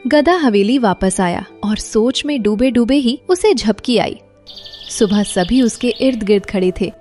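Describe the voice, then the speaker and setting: Story narrator: woman, fairly high pitch, scripted delivery over soft music. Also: a little slow.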